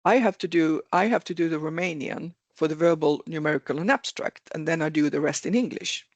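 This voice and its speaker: A man's voice: on the high side, brisk, no echo, highs cut off. A man giving advice in a webinar over a video call, talking earnestly, repeating himself and correcting his own words as he goes. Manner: repetitive, earnest, self-correcting. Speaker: webinar speaker on careers. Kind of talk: explanatory webinar talk. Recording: video call with dry sound.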